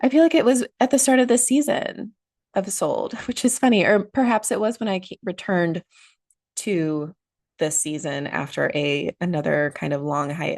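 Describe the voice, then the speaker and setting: A woman hosts her own podcast with a low, gravelly voice that has a lot of vocal fry. A cold leaves her sounding nasal as she talks earnestly, leaning on key phrases and repeating them. The recording is dry and close. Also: a little mellow, no echo. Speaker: podcast host. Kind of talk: solo motivational podcast talk.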